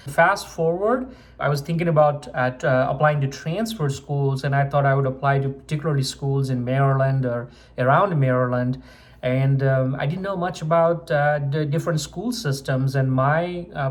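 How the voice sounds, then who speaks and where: An earnest young man from Nepal speaks to his channel about his own path, at a middle pitch. He talks steadily, pausing on fillers and restarting his sentences. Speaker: medical student vlogger. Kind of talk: personal story told to camera.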